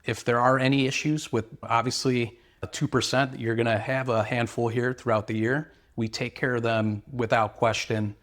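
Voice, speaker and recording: A man's voice: mid-range voice, level tone, moderate pace, a bit bright. A man, an earnest, sales-minded company representative explaining products and service in interview answers.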